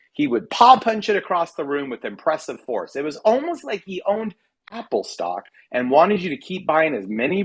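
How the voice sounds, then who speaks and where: An American man giving an expressive reading of a humorous personal essay, with wry, dramatic emphasis. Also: fairly high pitch, breathy edge. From California, United States. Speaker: writer reading own work at a literary reading.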